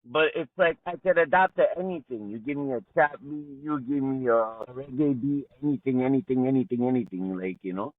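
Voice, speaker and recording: Montreal rapper dude, chill slangy talk, dry thin call audio. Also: male voice, medium pitch, brisk, few pauses, no echo. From Montreal, Canada. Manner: laid-back slang, frequent "you know", "man", "bro". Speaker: rapper guest. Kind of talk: casual interview chat. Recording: tinny phone-like call line.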